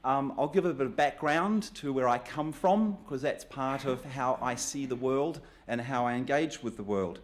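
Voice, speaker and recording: A man's voice: mid-range voice, normal speed, few pauses, a little hiss or hum. A man from New Zealand with a New Zealand accent gives a public talk in a conversational, good-humoured way, with light self-deprecating asides. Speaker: guest speaker.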